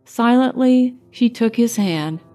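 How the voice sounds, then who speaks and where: Slow, higher-pitched woman narrator. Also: expressive, dark tone, soft background music. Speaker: audiobook narrator. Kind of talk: scripted short story narration.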